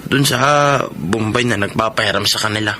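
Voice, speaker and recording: A man's voice: lowish voice, fairly even intonation, fairly quick, background noise, close to an everyday voice. A man acting a sly, irresponsible young gambler and younger brother in a Filipino radio drama. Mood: sheepish, evasive.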